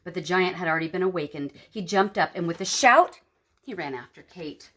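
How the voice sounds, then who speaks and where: A woman storyteller reading a picture book aloud to children in an expressive, dramatic and emphatic way. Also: lowish voice, brisk.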